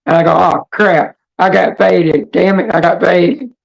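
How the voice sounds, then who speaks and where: A woman with a hoarse, raspy voice hosting a casual livestream chat in a rambling, halting, blunt way. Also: on the low side, low-quality recording. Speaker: livestream host.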